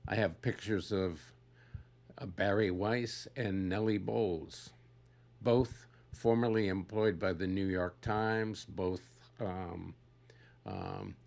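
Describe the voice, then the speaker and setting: Slow, low-voiced older man with gravelly creak. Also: animated, darkish tone. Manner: halting, searching for words. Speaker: speaker giving a personal talk. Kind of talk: unscripted reflective monologue.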